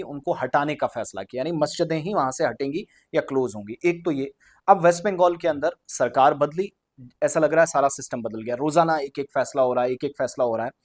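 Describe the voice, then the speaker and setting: A man giving unscripted news commentary to viewers, explaining and restating his points in Urdu-heavy wording sprinkled with English. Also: fairly high pitch. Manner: Urdu-heavy vocabulary mixed with English words.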